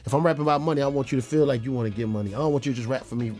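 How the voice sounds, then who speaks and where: Fast-talking New rapper man. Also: mid-range voice, darkish tone. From Brooklyn, New York. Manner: loose and emphatic, many "you feel me" and repeated words. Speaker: rapper, guest. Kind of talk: casual interview talk.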